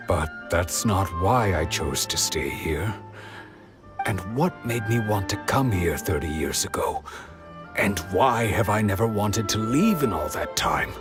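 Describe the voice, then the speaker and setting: A weary older villager with an empty, faraway heart. His low voice moves very slowly and hesitantly, and game music sits under him. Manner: slow, hollow, hesitant. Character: weary older male villager who lost his memories. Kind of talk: video game dub.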